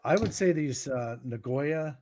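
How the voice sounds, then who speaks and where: A middle-aged American man giving informal explanations in a halting way, as if thinking aloud. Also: medium pitch, darkish tone, a little hiss or hum. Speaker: guest radio expert.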